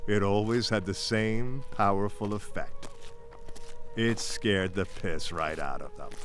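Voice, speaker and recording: A man's voice: on the low side, slow. A man narrating a scripted first-person story in a theatrical, folksy, playful manner. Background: music bed.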